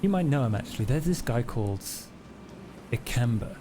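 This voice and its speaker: A man voicing a brooding, aggrieved private investigator in a tabletop game, confiding in a halting way. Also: mid-range voice, animated, noisy background, close to an everyday voice. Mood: fed up.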